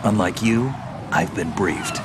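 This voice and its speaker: A man's voice: low-pitched, takes it slowly, noisy background. A man voicing a tough, seasoned soldier in a video game, blunt, terse and matter-of-fact. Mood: briefing, wary. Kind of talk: dramatic video game voice acting.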